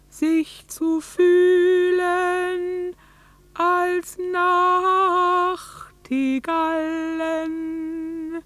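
A woman's voice: extremely high pitch, no echo, exaggerated voice. An actress playing a haunted, solitary farm woman in a radio drama, with an incantatory, repetitive, insistent way of speaking. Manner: slow, incantatory, repeating phrases.

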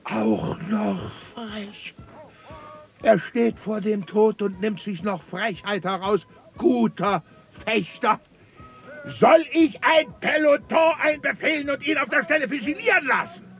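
A man's voice: very high-pitched, unhurried, a bit bright, soft background music, exaggerated voice. An actor in a radio drama playing a sneering, authoritarian colonial military commandant who barks and mocks as he interrogates. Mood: scornful, irate. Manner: barking, mocking, interrogating.

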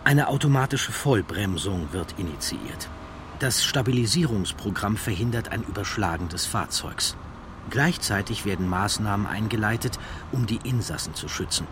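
Male documentary narrator, lower register, serious and factual, light vehicle ambience underneath. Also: lively intonation, noisy background. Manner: measured, factual, serious delivery.

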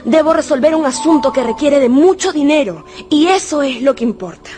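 High-voiced, imploring young noblewoman. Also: expressive, brisk, music in the background. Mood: urgent, torn. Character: proud, pleading young aristocratic lady. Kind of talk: Spanish-language radio drama acting.

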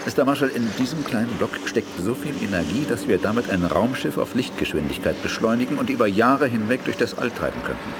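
An actor playing a self-important, formal professor in a 1980s radio drama. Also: male voice, mid-range voice, sing-song, quick pace, hardly any pauses, noisy background. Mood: lecturing, condescending. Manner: lecturing, formal. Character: self-important male scientist, condescending, prone to panic.

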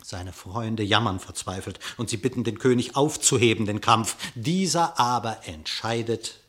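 A man narrating a classic radio play in verse, in an expressive, declamatory storytelling manner. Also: lowish voice, normal speed.

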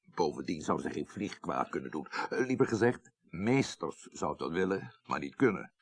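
A very low, gravelly Dutch man as a worldly party leader in an eighties radio play. He is wry and urbane, and his pitch moves theatrically as he weighs each point. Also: sing-song, normal speed, no room sound, full character voice. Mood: wry, amused. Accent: Dutch, Netherlands. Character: shrewd, worldly male senior politician, wry party leader. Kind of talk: 1980s radio drama acting.

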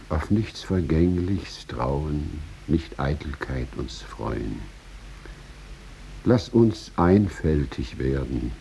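A man reciting poetry in a solemn, dramatic style, measured, with drawn-out pauses and swelling emphasis. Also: a bass voice, gravelly, warm tone, slight background noise, low-quality recording.

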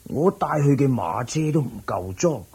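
A man's voice: mid-range voice, big pitch swings, moderate pace, warm tone, slightly rough, full character voice. An actor playing a loyal household steward in a Cantonese radio drama, humble and eager as he reports to his master. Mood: eager reporting.

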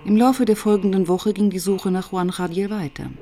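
An actress playing a reflective, probing police inspector in a radio crime drama, controlled and thoughtful. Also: female voice, mid-range voice, fairly even intonation, moderate pace, dark tone, faint music behind, close to an everyday voice. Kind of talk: radio crime drama, first-person narration and dialogue.

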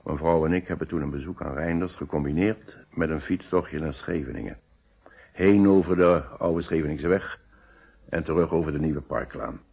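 Self-assured businessman, very low husky voice, courteous, logical, precise phrasing, radio play. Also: moderate pace, darkish tone, lo-fi sound, exaggerated voice. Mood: composed, precise. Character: composed, hospitable, logical married businessman being questioned. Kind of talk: Dutch radio play acting.

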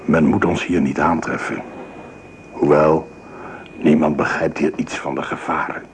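Wry army lieutenant, faint hiss. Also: male voice, rumbling low, steady tone, unhurried. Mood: uneasy, hushed. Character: bitter, confiding French army lieutenant. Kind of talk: radio drama acting.

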